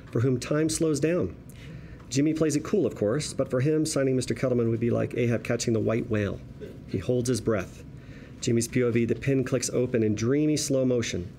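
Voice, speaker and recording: Male stage-directions reader: brisk pace, medium pitch, scripted screenplay narration, slight background noise. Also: dark tone.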